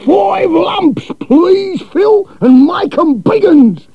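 A man voicing a loud, friendly Australian man in a storybook reading, with a hearty drawl. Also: very high-pitched, expressive, extremely slow, gravelly, pitched above the performer's own voice, exaggerated voice. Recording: dull lo-fi recording.

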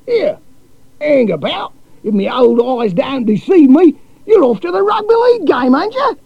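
A man voicing a loud, friendly Australian man in a storybook reading, with a hearty drawl. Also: very high-pitched, sing-song, moderate pace, no room sound, pitched above the performer's own voice, heavily acted. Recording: dull lo-fi recording.

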